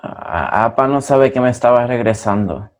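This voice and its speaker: A man's voice: medium pitch, animated, fairly quick, lightly acted. An actor playing an earnest young Mexican man who mixes in Spanish phrases, in a play reading.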